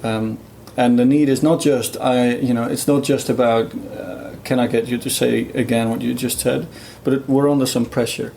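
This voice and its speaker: A man's voice: mid-range voice, steady tone, moderate pace, vocal fry, hardly any pauses, dark tone, a little hiss or hum. A man whose first language is Dutch, explaining research in a thoughtful conversational way, with many fillers and restarts. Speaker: guest researcher.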